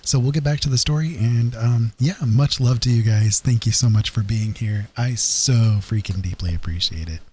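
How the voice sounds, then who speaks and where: A man talks loosely into his streaming mic, his voice dark and mellow with a husky, slightly hoarse grain. He rambles along easily, dropping plenty of ums and uhs as he chats with viewers from home. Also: medium pitch, normal speed, hardly any pauses. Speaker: streamer and storyteller. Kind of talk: casual livestream chat.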